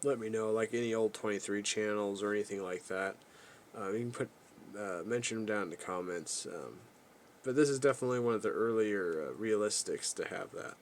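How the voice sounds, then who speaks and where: A man with a fairly low voice talks through a piece of gear in a casual, unscripted way. His delivery is halting and low-key, rambling a little as he reviews it. Steady noise sits behind him in an amateur recording. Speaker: radio reviewer, video host. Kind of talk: casual unscripted product walkthrough.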